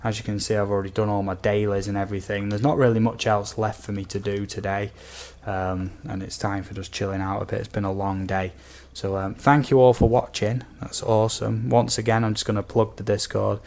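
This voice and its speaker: A man with a fairly low voice that has a slight croak to it. He talks as a game streamer hosting a casual live chat. His manner is easygoing and chatty, addressing viewers like mates. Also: flat delivery, brisk, hardly any pauses, a little mellow.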